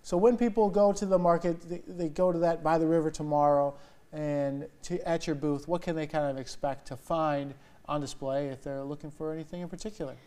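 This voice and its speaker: Higher-voiced American male news anchor. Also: fairly even intonation, brisk, warm tone. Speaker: TV news anchor interviewing a guest. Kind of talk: morning news interview, conversational. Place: TV news studio.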